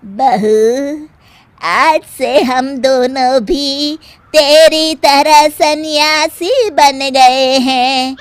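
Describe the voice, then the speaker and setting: A woman plays an elderly mother-in-law from a cartoon moral tale, scolding and sly. Her high voice drags out each complaint slowly and theatrically. The sound is close and dry. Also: dry recording. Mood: calmly sly. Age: older. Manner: theatrical, nagging, drawn-out delivery. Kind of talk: Hindi animated moral story voice acting.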